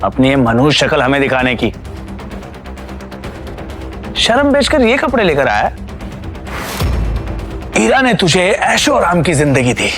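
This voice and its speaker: Casting: menacing wealthy rival; high male voice with animated swings, sneering, theatrical audio-series delivery. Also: measured pace, pauses often, over music. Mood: sneering, mocking. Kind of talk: Hindi audio series acting.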